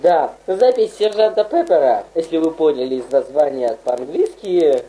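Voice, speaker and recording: Woman, mock radio lecturer, halting with fillers, very dark tone. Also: low-pitched, lively intonation, low-quality recording. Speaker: mock lecturer in a comedy skit. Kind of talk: parody radio program.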